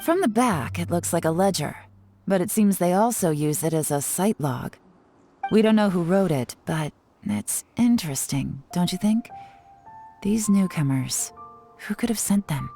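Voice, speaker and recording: A woman playing a shrewd, composed investigator in a video game, speaking in a measured, knowing way. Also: fairly low pitch, expressive, vocal fry, warm tone, faint music behind.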